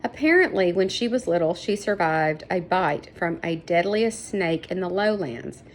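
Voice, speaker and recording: A woman voicing a friendly young boy adventurer in a storybook, steady and encouraging as he explains. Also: on the low side, moderate pace, a little hiss or hum, natural voice. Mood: explaining. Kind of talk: storybook character voice.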